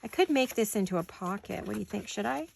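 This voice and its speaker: Chatty crafting woman with a gravelly voice. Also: mid-range voice, lively intonation, fast, a little mellow. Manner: chatty, thinking aloud to viewers. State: sore throat, sniffling. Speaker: craft vlogger. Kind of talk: casual crafting chat. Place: home craft room. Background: scissors and crafting clicks.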